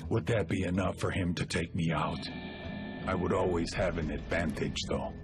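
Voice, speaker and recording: A man playing a cold, calculating cartel boss, delivering a measured, menacing game monologue in a gravelly voice. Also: low voice, steady tone, over loud music, lightly acted. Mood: brooding, menacing.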